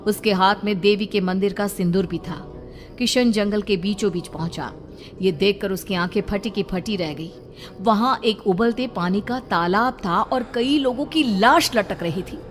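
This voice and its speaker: A woman's voice: mid-range voice, normal speed, big-room sound, soft background music. A woman storyteller delivering dramatic scripted narration of a horror cartoon tale.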